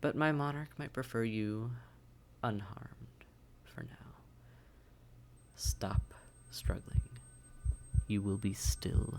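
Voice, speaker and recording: A man playing a taunting, predatory vampire in a roleplay audio drama, with mock-tender menace and breathy, coaxing speech. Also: lowish voice, takes it slowly, pauses often, darkish tone, slight background noise. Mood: menacing, hypnotic. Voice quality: breathy, often whispered, creaky. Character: silky, taunting, predatory vampire toying with captive hunter. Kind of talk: immersive roleplay audio drama, often whispered.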